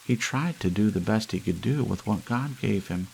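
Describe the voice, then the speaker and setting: A man's voice: lowish voice, expressive, normal speed, vocal fry, darkish tone, a little hiss or hum, voice pushed up, rough recording. A man voicing a simple, kindly housewife with a hesitant, earnest manner in an audiobook story.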